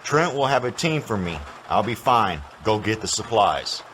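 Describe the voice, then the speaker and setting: A fatherly old mentor in an audio drama, warm and reassuring. Faint noise hums behind him. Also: on the low side, sing-song, normal speed.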